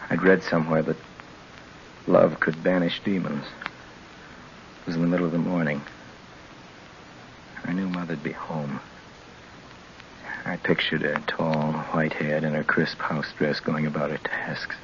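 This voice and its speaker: A man's voice: rumbling low, flat delivery, unhurried, pauses often. An actor playing a haunted young man driving alone, in tense 1940s radio drama style that moves between whispers and frantic questions. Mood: wistful. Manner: tense, fraught narration that sinks to whispers and rises to frantic questions. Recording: muffled old radio broadcast with faint hiss.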